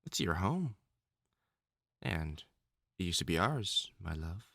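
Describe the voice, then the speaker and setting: A man's voice: low-pitched, very animated, vocal fry, fairly bright tone, dry recording, clean studio sound, heavily acted. A man playing a theatrical male wolf-mutant supervillain in love, teasing and wounded, in a scripted audio roleplay spoken to the listener. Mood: wistful, earnest. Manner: theatrical, taunting, dramatic swoops and pauses.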